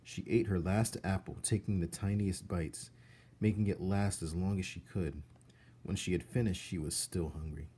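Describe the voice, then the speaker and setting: A man narrating a children's novel aloud in an expressive storybook style. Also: low voice, normal speed. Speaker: storybook reader. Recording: faint background noise.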